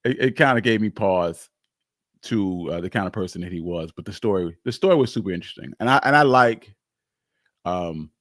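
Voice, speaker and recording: A man hosting a casual, unscripted live history stream, speaking candidly in a halting, rambling way. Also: fairly low pitch, no echo, studio quality. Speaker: livestream host, history storyteller.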